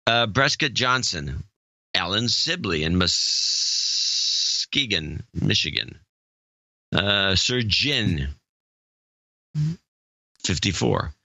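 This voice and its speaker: A man's voice: sits low, lively intonation, gravelly, sharp tone, dry recording, poor audio. A man co-hosting a podcast, reading a long list of donor names and joking in between, stumbling over how to say them. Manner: reads names haltingly, stumbles over pronunciations and jokes in between. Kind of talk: casual chat.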